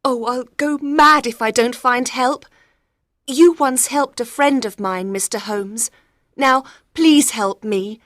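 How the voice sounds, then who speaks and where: A woman playing a troubled gentlewoman of about thirty in a dramatised audiobook, speaking earnestly and clearly. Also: on the high side, slow pace, no room sound, studio quality. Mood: desperate, pleading. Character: frightened, desperate young gentlewoman of about thirty.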